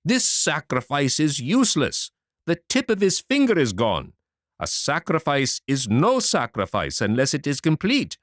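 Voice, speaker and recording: A man voicing a fat, self-important chief priest, loud and agitated, with his voice pitched up. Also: wildly swooping pitch, measured pace, no echo, clean studio sound. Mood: indignant.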